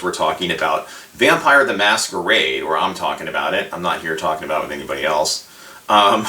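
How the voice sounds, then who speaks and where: A middle-aged man talking casually on his own as a host, in a halting, digressive way, often correcting himself. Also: low voice, sharp tone. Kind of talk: casual solo talk, rambling reminiscence. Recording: roomy echo, distant microphone.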